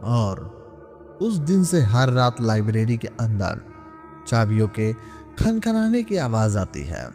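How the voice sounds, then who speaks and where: Dark mellow guy reading a scary story super slowly, dramatic pauses, music underneath. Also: mid-range voice, big pitch swings. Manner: slow, suspenseful, dramatic delivery with deliberate pauses. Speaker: horror story narrator. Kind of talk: scripted horror storytelling narration. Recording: long cavernous reverb, music underneath. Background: background music.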